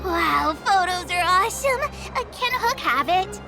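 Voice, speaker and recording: A woman voicing an excitable, cheeky little girl in a video game, eager and childlike in manner. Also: very high-pitched, a little slow, heavily acted. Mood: excited, eager. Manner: eager, childlike, speaks of self in third person. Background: game music.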